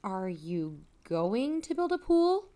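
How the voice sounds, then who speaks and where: A woman's voice: medium pitch, big pitch swings, slow, mellow. A woman playing an inquisitive, matter-of-fact podcast investigator in a mock-documentary audio drama. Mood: probing.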